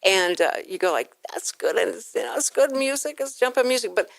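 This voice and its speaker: A woman's voice: medium pitch, animated, fairly quick, creaky, no echo. A woman from Houston, Texas, hosting a casual radio interview in an effusive, rambling, anecdotal way. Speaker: talk show host. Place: radio studio.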